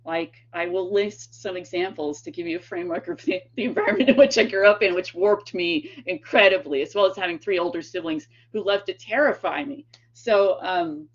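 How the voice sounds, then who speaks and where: A woman's voice: on the low side, a little fast, hardly any pauses. Tennessee-accented woman lecturer, rambling anecdotal delivery, dull lo-fi capture. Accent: East Tennessee. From East Tennessee. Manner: chatty, rambling anecdotes with many fillers and laughs. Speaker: guest lecturer and author. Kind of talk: storytelling lecture. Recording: dull lo-fi sound.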